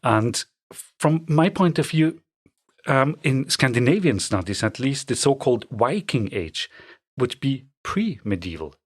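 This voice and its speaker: Low husky guy, academic guest, lots of ums, dry sound. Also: big pitch swings, unhurried, dry recording. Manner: hesitant, thoughtful, many ums. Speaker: guest scholar. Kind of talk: academic interview answers.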